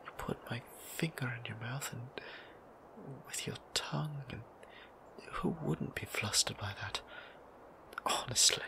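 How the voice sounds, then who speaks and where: Slow, whispery, gravelly shy vampire priest played by a man. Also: poor audio. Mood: flustered. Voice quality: breathy, whispery, low. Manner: halting, stammering, genteel old-fashioned diction. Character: shy, gentle centuries-old male vampire priest, easily flustered. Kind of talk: intimate ASMR boyfriend roleplay.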